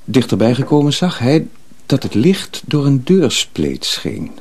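A man's voice: medium pitch, sing-song, a little slow, a little mellow, slight background noise. A man reading scripted story narration for a radio drama.

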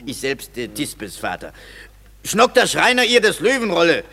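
An actor playing a fussy, persuasive leader of an amateur troupe in a classic radio play. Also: male voice, on the high side, moderate pace, creaky, big-room sound, exaggerated voice. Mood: bustling. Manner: bustling, persuasive, flattering.

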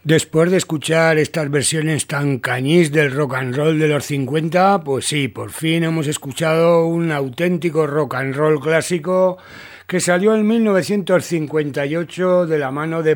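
Higher-pitched Spanish man hosting music radio. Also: a little slow. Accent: Spain. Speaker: radio host of a vintage music show. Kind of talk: music radio presenting, semi-scripted.

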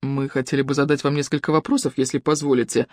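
A reader voicing a polite, ironic young male investigator who speaks softly with exaggerated courtesy. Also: high-pitched, expressive, a little fast, dry recording, clean studio sound, pitched above the performer's own voice. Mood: courteous.

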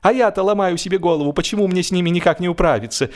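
Excitable young-hearted amateur oarsman, played by a male narrator, indignant, exclamatory, pitched up, comic storybook reading. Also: rapid-fire, close to an everyday voice. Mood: excited indignation. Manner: exclamatory, exaggerated.

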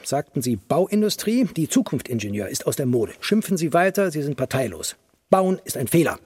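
A man's voice: fairly high pitch, sing-song, normal speed, darkish tone, breathy edge, dry recording. An actor playing an embattled, stubborn construction boss in a radio play, emphatic and ironic in his rhetoric. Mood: frustrated, ironic. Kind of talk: stylised radio play dialogue.

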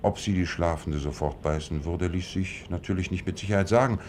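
Guy doing a low voiced clever detective in a radio play, calm n analytical, bit of noise behind it. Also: close to an everyday voice. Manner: measured, analytical, self-assured. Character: shrewd, composed male detective explaining his deductions.

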